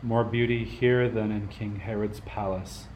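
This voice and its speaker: Man playing a low-voiced stately warrior king. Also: steady tone, a little mellow, a little hiss or hum, close to an everyday voice, low-quality recording. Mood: reverent. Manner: measured, declamatory. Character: tall, stately warrior king, commanding and devout. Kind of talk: amateur radio play acting.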